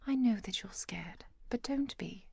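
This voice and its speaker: A woman playing a composed, caring professor and vampire hunter in an ASMR roleplay, speaking softly and precisely, often near a whisper. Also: a little high, expressive, vocal fry, slightly rough, no echo, clean studio sound, heavily acted. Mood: soothing, tender. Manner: measured, precise, softly commanding.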